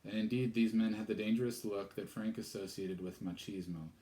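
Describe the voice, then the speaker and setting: A man reading a novel aloud, pausing for relaxed, chatty asides. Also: on the low side. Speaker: storyteller. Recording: echoey room.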